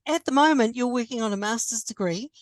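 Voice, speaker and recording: A woman's voice: on the high side, fairly quick, no echo, clean studio sound. A woman interviewing a former student, speaking hesitantly with many ums and restarts. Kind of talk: conversational interview.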